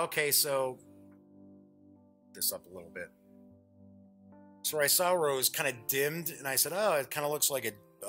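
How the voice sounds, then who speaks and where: A man's voice: medium pitch, pushed and bright. A man hosting a board game show, giving casual unboxing commentary in a chatty way, thinking aloud as he goes.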